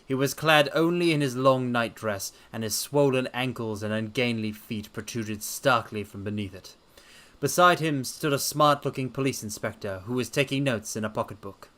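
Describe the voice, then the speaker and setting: A man's voice: medium pitch, normal speed, fairly bright tone. A man reading a classic detective story aloud as dramatic narration.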